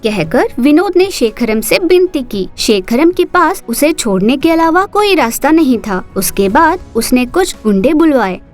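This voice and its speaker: A woman narrating a cartoon story in a steady, expressive storytelling manner. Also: high voice, unhurried, few pauses, faint music behind.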